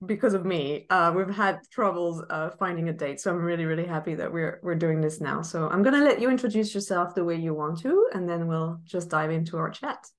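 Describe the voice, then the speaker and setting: Lower-pitched woman, podcast host, casual and giggly on a video call. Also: expressive. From France. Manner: bubbly, laughs often, quick restarts. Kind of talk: casual interview chat.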